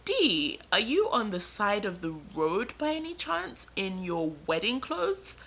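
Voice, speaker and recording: A man's voice: high-pitched, big pitch swings, a little slow, sharp tone, a little hiss or hum, heavily acted. A woman voicing her male cousin, who checks on her in a worried, questioning way. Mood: concerned. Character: concerned young male cousin.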